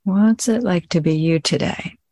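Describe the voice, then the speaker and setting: A woman leading a guided meditation, gently inviting the listener in short phrases with long pauses between them. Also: lowish voice, no echo. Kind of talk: guided meditation, slow spoken instruction.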